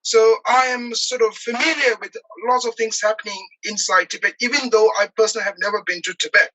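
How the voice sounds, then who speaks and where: A man in his forties from Nepal, speaking as an interview guest over a video call, earnest and halting with many pauses and fillers. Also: high voice, fairly even intonation, sharp tone, rough recording. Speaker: guest, activist. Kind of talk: earnest personal account in an interview.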